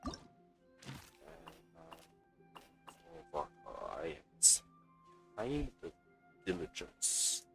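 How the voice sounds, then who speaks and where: A man live-streams a game with a low voice that still has a bright, forward edge. His pitch moves around a lot as he mutters to himself in casual, halting bursts. Faint game music plays behind. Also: huge pitch swings, creaky. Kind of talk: casual live let's play chatter.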